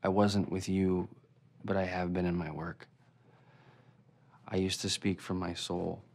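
A man's voice: low voice, flat delivery, a little slow. An actor in his thirties playing an earnest young playwright who declares his love in a cozy coffee shop. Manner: heartfelt, speaking at a microphone. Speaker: playwright speaking at a microphone. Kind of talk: film drama acting. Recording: film soundtrack with music underneath.

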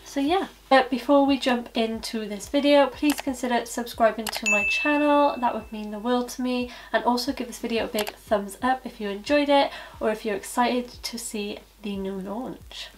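A young woman reviewing lipsticks to camera in a chatty way, her voice a little croaky from hay fever, often saying obviously and so yeah. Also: fairly high pitch, normal speed, hardly any pauses, slight background noise, poor audio. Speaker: beauty vlogger and cosmetics consultant. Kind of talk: casual product review to camera. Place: small indoor studio with plain backdrop. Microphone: camera at head-and-shoulders framing.

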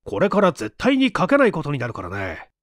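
A man's voice: on the high side, wildly swooping pitch, fairly quick, fairly bright tone, no room sound, studio quality. A man voicing an uneasy, self-deprecating, forgetful man, hesitant and musing, in a dramatic audiobook reading. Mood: doubtful.

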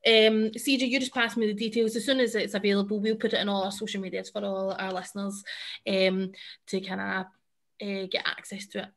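A woman's voice: mid-range voice, level tone, brisk. A young Scottish woman hosting a casual podcast and quiz over a video call, bubbly and chatty, laughing often. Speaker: podcast host.

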